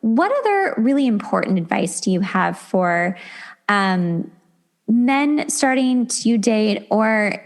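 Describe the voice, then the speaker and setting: A woman's voice: medium pitch, animated, slow pace. Enthusiastic woman hosting a casual chat. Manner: enthusiastic, effusive. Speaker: podcast host. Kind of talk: casual conversational interview.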